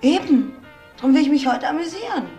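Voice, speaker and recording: Flippant young woman, higher-pitched. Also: lively intonation, a little fast, warm tone. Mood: defiant. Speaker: actress in a radio crime play. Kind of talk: German crime radio drama acting. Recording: echoey, music behind the voice.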